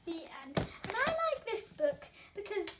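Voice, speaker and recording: A young-sounding female reader presenting a children's storybook with expressive narration. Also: extremely high pitch, a little slow, very noisy.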